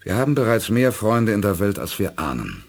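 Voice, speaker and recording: An actor playing a decisive, self-assured space commander, composed and authoritative, in a 1980s German radio play. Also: male voice, fairly low pitch, big pitch swings, brisk, gravelly, heavily acted. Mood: confident.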